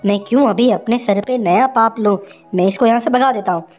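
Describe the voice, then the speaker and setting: A woman's voice: fairly high pitch, a little fast, darkish tone, soft background music, close to an everyday voice. A woman voicing a generous, respectful male lion in a cartoon, speaking in a formal, courteous way. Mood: gruff. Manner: formal, courteous welcoming speech.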